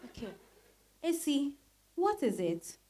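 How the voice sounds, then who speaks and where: A bossy, caring, worn-out mother, acted by a woman. Her high voice swings dramatically up and down, full of exclamations, in a dramatized reading. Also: sing-song, slow, mellow, exaggerated voice. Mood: surprised, bossy. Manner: exclamatory, peppered with interjections. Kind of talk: dramatized stage-style reading.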